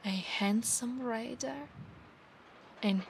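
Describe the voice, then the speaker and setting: Casting: actress as possessive, doting female centaur knight; Italian accent, unhurried whispery ASMR delivery, touch of creak. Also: a little high, slight background noise, heavily acted. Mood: fond, eager. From Italy. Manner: breathy, often slipping into whispers. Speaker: ASMR roleplay performer. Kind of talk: ASMR roleplay, soft-spoken with whispers.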